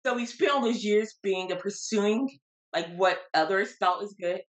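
A woman guest coach, earnest and a little halting, who is losing her voice. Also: medium pitch. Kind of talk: interview conversation.

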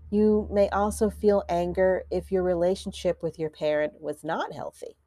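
A woman who is a counselor hosting a grief podcast, talking gently and in a measured way, a little stuffy from allergies. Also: on the low side, no room sound. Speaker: podcast host and licensed counselor. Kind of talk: solo podcast talk.

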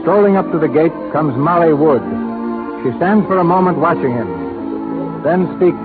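A man narrating as a 1930s radio theatre announcer, formal and measured in his scripted delivery. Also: fairly high pitch, lively intonation. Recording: muffled 1930s radio recording with music.

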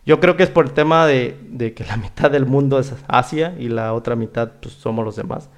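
A man's voice: mid-range voice, moderate pace. A man with a Mexican accent hosting a casual interview, talking in a rambling way and often adding little tag questions. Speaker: podcast host.

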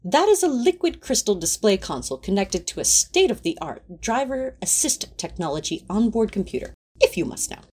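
A woman's voice: mid-range voice, sing-song, moderate pace, hardly any pauses, a little mellow, slightly rough. A woman playing a prim, fussy male angel, genteel and mildly put-upon, in a fan audio drama. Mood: prim, defensive.

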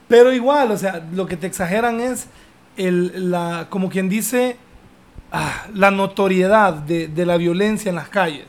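A young Honduran man with a fairly high, light voice talks in an easy, joking way. He hosts a relaxed conversation, chatting and teasing his guest. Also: measured pace. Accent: Honduran Spanish. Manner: joking, chatty, uses vos. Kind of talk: casual chat.

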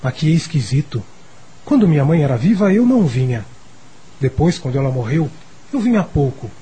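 An actor playing a lonely, sickly rich schoolboy in a Brazilian radio drama, confiding in a childlike way, with a Brazilian accent and a husky voice. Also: male voice, fairly high pitch, big pitch swings, a little fast, warm tone, full character voice. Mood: confiding, wistful.

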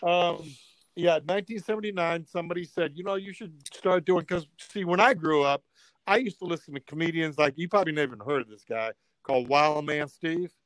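A man's voice: fairly high pitch, fairly quick, no echo, low-quality recording. Older Italian-born man telling stories. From Italy. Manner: animated storyteller, many restarts and fillers. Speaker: comedian guest. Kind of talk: casual storytelling chat.

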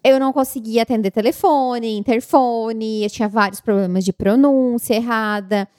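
A woman answering viewer questions in a relaxed, reflective and chatty way. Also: on the high side, moderate pace, smooth, dry recording, studio quality. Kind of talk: casual conversation.

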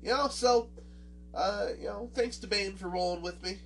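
A man's voice: high-pitched, normal speed, far from the mic. A man with a nasal voice and a swollen, busted lip talks casually as a solo podcast host, rambling and poking fun at himself. He sits back a little from the microphone, and faint guitar music plays underneath. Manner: rambling, self-deprecating, frequent fillers and restarts.

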